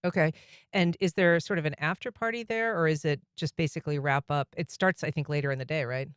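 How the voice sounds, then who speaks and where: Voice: mid-range voice, fast talker, a bit bright, clean tone, dry recording, clean studio sound. A radio talk show host welcoming guests and asking friendly questions with casual fillers. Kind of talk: radio interview.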